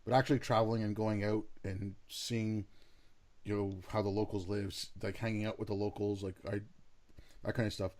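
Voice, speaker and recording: A man hosts a podcast and introduces his guest in a casual, friendly way. His voice sits a little low, and he rambles, filling his sentences with "like" and "you know". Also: level tone, normal speed, darkish tone. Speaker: podcast host. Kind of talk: casual interview.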